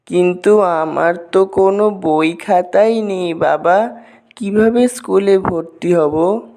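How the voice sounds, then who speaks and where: A woman's voice: medium pitch, takes it slowly, warm tone. A woman voicing a young schoolboy in a cartoon, talking in a pleading way. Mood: worried. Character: young schoolboy, the favoured son.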